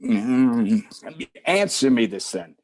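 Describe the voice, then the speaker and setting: A man's voice: mid-range voice, sing-song, very slow, darkish tone, full character voice. A man playing an exasperated but fond police detective in an old-time radio drama, talking in hectoring slang. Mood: questioning. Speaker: supporting actor in community theatre radio recreation.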